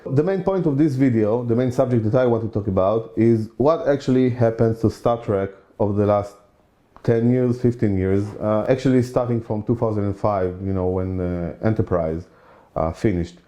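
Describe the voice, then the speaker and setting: Warm-voiced Israeli man chatting. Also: fairly low pitch, normal speed. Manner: chatty, many "you know" fillers, emphatic repeats. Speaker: channel host. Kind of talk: casual opinion monologue.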